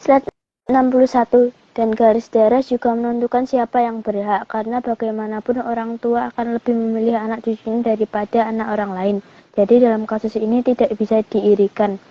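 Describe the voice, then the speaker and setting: A woman reading slide text aloud in an even, scripted recitation. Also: a little high, fairly quick, highs cut off. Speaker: slide reader.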